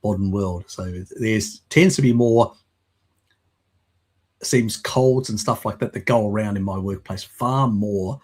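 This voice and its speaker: A man, explaining things in a rambling, halting way on a video call, with a slight lingering croak from a cold. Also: on the low side, a little mellow. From Australia. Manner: rambling, halting with fillers and restarts. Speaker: guest, works in mapping. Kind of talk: casual live-stream chat.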